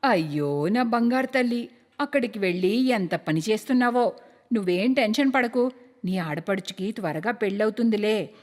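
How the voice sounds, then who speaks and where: Woman as fretful older mum, melodramatic, drawn-out delivery, lowered pitch, reverberant. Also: expressive, close to an everyday voice. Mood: soothing. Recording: long hall-like reverb.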